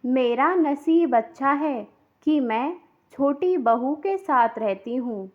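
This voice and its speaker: A woman voicing a reproachful, sharp-tongued older mother-in-law who scolds and taunts in a cartoon moral story. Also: high-pitched, animated, takes it slowly, phone-quality sound, full character voice.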